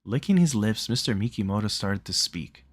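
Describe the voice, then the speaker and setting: Low-voiced man reading a story aloud. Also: expressive, moderate pace. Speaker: let's play reader. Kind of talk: reading visual novel narration aloud.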